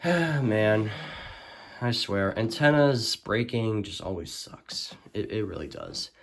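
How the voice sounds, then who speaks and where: A man's voice: on the low side, expressive, slow, gravelly. A man giving a casual, unscripted show-and-tell as a video host, rambling hesitantly and often correcting himself. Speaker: host of a radio collection video. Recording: noisy home recording with clicks.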